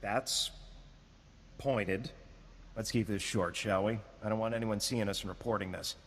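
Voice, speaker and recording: Sardonic spy in a reverberant hall. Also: male voice, fairly low pitch, steady tone, a bit bright. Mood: guarded. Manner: measured, sardonic, understated. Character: smug, cautious male government agent, coolly sardonic. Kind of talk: video game voice acting. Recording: long hall reverb, background noise.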